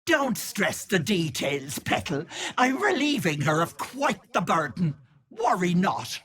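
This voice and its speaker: Old hag, game villain, fake-sweet auntie, gloating, low-pitched, wildly swooping delivery, theatrical menace. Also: female voice, sing-song, slow pace, fairly bright tone, husky, exaggerated voice. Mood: mock sympathy. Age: older. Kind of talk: video game character acting.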